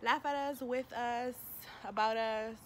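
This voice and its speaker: A woman's voice: a little high, steady tone, a little slow. A woman in her twenties in casual vlog chat, slangy and profane, slipping into Spanish, recorded outdoors. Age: 20s. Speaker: vlog co-host. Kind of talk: casual chat answering questions. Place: outdoors by a parking area. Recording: noisy outdoor ambience.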